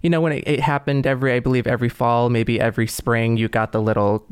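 A man's voice: medium pitch, flat delivery, quick pace, clear, resonant tone, dry recording. A man with an American accent and a mid-range voice co-hosts a podcast. He speaks conversationally, in a close, dry recording. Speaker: podcast co-host.

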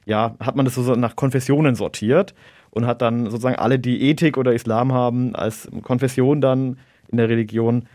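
A man's voice: mid-range voice, steady tone, a little mellow, no echo. Thoughtful man in his thirties, dry sound. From Germany. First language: Arabic. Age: 30s. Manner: measured, reflective, chuckles now and then. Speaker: interview guest, local politician. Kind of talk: interview answers.

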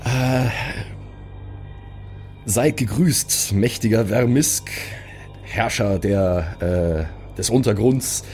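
A man's voice: lowish voice, extremely slow, a little mellow. A man playing a cautious, deferential envoy of a mages' academy in a tabletop audio game, hesitant and formally courteous. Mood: humble, pleading. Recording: faint eerie music under the voice.

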